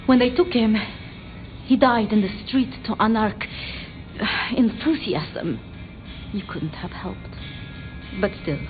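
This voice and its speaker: A woman playing a timid, bookish vampire researcher in a video game, hesitant and apologetic, stammering over halting phrases. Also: mid-range voice, animated, narrow, tinny audio, faint music behind. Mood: grieving, halting. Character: timid, flustered, bookish female vampire researcher, devoted to her retainers. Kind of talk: video game dramatic dialogue.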